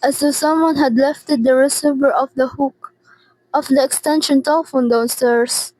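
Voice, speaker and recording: A teenage girl acting in a radio drama as a frantic, demanding invalid woman alone at night, anxious and repeating herself haltingly. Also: high voice, steady tone, darkish tone, no room sound, natural voice, rough recording. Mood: fearful, upset. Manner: anxious, imperious, halting repetitions.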